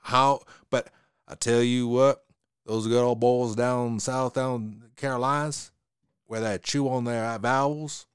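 A man with a Western Canadian accent, talking fast in casual conversation and often repeating his words. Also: medium pitch, fairly even intonation, clear, resonant tone, no echo, clean studio sound.

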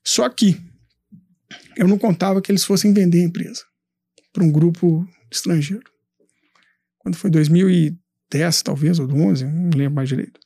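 A man telling his business story in a casual interview, animated, swearing and acting out his past thoughts. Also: high voice, normal speed, pauses often, slightly rough, no room sound, studio quality. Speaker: entrepreneur guest.

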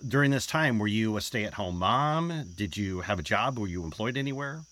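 A male podcast host with a lively, bouncing delivery whose pitch swings up and down. He sounds upbeat and energetic, and he often rephrases a question two or three times before his guest answers. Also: moderate pace. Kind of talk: interview hosting.

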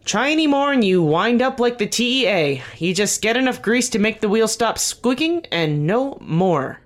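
An actor playing a weary, guarded veteran team leader in an audio drama, speaking earnestly and haltingly with dry wit. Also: medium pitch, very animated, lightly acted. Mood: frustrated, weary. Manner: earnest, halting, self-correcting, dryly wry. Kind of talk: naturalistic audio drama dialogue.

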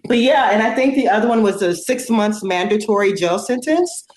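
A woman's voice: mid-range voice, expressive, normal speed, darkish tone, echoey room, a little hiss or hum. A woman speaking in casual conversation, earnest and outspoken, with lots of fillers. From United States.

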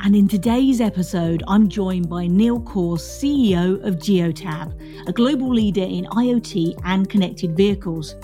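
A woman's voice: medium pitch, unhurried, music in the background. A woman hosting an interview show, asking questions in an enthusiastic, encouraging way. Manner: warm enthusiastic questioning, some restarts. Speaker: podcast host.

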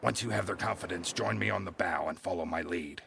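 A man playing a gruff, scheming captain of an old sailing ship in an audio drama, with commanding, salty seafaring speech. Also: low voice, a little fast, slight background noise, exaggerated voice. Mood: grave, scheming. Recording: loud ship and sea ambience behind.

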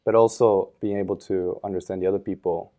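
A man in his thirties, a podcast guest, talking in a thoughtful, relaxed way with many fillers and restarts. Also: deep voice, fairly quick. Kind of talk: casual interview.

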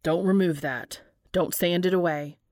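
A woman voicing a stubborn, devoted young man in a story, earnest and insistent, with a creaky voice. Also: fairly low pitch, fairly even intonation, a little slow, a little mellow, dry recording, studio quality, natural voice. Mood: determined.

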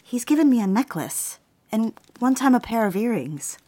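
A woman's voice: on the high side, big pitch swings, normal speed, mellow. Woman acting earnest, troubled young secretary. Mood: probing, subdued. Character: troubled young secretary, earnest, wounded but standing her ground. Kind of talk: period office radio drama acting.

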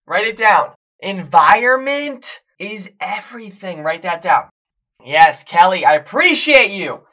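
A hoarse young man, a fitness coach and podcast host, talking energetically to viewers on a live stream as he loses his voice. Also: high voice, very animated, a little slow. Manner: hyped, shouting encouragement to viewers.

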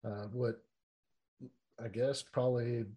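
A man's voice: medium pitch, fairly even intonation, slow pace, dark tone. A man hosting a podcast interview, introducing his guest enthusiastically and casually. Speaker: podcast host.

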